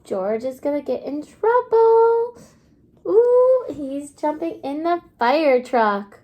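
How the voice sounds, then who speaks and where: Woman, kindergarten teacher. Slow, animated read-aloud delivery, high voice, prompting young listeners. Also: dull audio. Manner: expressive, prompting listeners with questions and pauses. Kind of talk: children's read-aloud lesson with questions.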